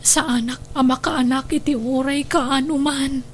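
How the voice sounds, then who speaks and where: Woman acting high-voiced wounded young wife, Ilocano. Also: steady tone, moderate pace, lightly acted. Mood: dejected. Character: distressed married daughter, sorrowful and wounded. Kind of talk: Ilocano radio drama acting. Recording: music bed behind the voice.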